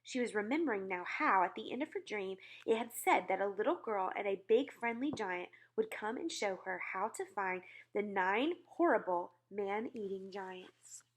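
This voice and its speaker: A woman narrating a children's storybook aloud in an expressive style. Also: medium pitch, normal speed, hardly any pauses.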